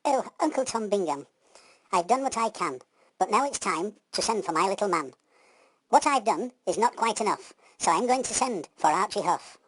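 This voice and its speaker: A woman's voice: mid-range voice, lively intonation, normal speed, husky, low-quality recording. A woman voicing a sassy, helpful good fairy in a pantomime, speaking in theatrical rhyme. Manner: theatrical rhyming delivery.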